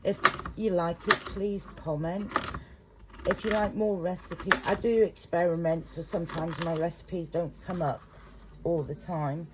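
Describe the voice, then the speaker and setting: Chatty English woman, low and slow. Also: fairly even intonation. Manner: rambling, chatty, self-conscious. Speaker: home cook and carer. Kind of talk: casual cooking vlog. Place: home kitchen. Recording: noisy home recording.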